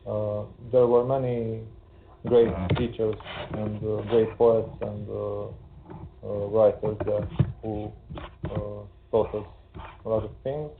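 A poet and translator from Romania, a Hungarian speaker, talking hesitantly with many fillers over a video call. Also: male voice, lowish voice, completely flat delivery, takes it slowly, gravelly, noisy background. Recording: tinny call audio.

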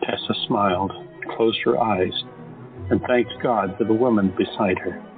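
Male narrator, audio drama, measured, lowish voice, phone-thin sound over clear music. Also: fairly even intonation, narrow, tinny audio. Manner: measured storytelling. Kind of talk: scripted narration.

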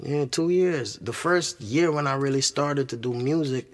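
A man from Barbados with a Barbadian accent, a musician talking about his music in a relaxed interview. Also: medium pitch, moderate pace. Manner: laid-back, repeats "you know what I'm saying". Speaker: guest musician. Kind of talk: casual interview chat.

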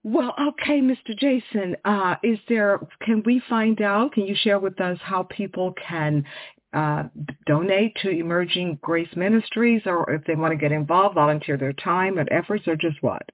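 A woman's voice: fairly low pitch, moderate pace, no echo. A woman from Texas hosting a conversational radio interview in an effusive, emphatic way. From Houston area, Texas, USA. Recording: muffled, narrow-band radio audio.

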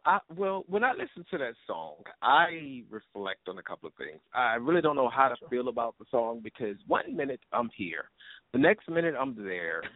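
A man's voice: mid-range voice, dry recording. Male radio host on a tinny line. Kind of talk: casual internet radio chat with shout-outs.

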